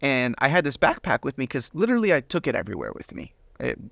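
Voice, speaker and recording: Gravelly-voiced man telling his story. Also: medium pitch, wildly swooping pitch, quick pace, fairly bright tone, no room sound. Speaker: interview guest. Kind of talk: personal testimony storytelling in an interview.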